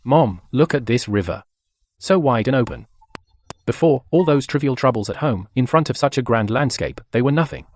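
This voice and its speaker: An actor playing a devoted, calm and protective grown son in a dubbed melodrama. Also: male voice, mid-range voice, normal speed, no room sound. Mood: reassuring.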